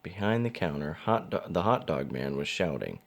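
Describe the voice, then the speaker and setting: Male storybook narrator, low and gravelly, with lively swings in pitch. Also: moderate pace. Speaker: father reading a picture book. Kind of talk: children's storybook narration read aloud.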